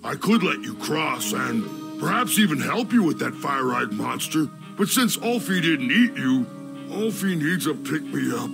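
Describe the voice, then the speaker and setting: Giant stone bridge troll, slow drawl, swooping pitch, plaintive, fantasy game, music behind. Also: male voice, sing-song, creaky, full character voice. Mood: rambling, grumbling. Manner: plaintive, talks of himself in third person. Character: huge slow-witted self-pitying stone bridge troll. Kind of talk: animated fantasy game acting.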